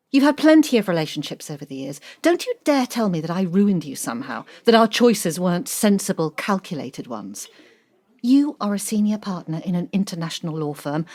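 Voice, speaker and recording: A cutting, proud middle-aged woman, swooping intonation. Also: mid-range voice. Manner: brisk, assertive, dismissive. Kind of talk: audio drama acting.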